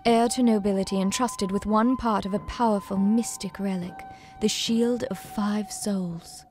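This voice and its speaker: An actress playing a poised, grave noblewoman who leads a cause in a video game, speaking in a measured, formal way. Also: mid-range voice, fairly even intonation, darkish tone, soft background music, natural voice. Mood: grave, revealing. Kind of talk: video game cutscene acting.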